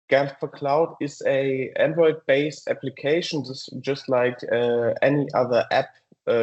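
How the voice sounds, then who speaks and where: A man's voice: medium pitch, darkish tone, muffled recording. German-accented man presenting a webinar. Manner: frequent ums and uhs, halting.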